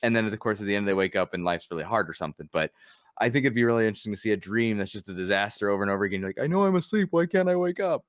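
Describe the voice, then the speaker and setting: A man's voice: fairly low pitch, rapid-fire, no echo, clean studio sound. A man co-hosting a podcast, rambling loosely and laughing as he talks. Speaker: podcast co-host. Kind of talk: casual chat.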